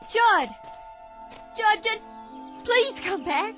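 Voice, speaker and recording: An actress playing a young farm wife in a radio drama, talking emotionally and pleadingly with halting restarts. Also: female voice, very high voice, lively intonation, fairly bright tone, faint music behind, full character voice.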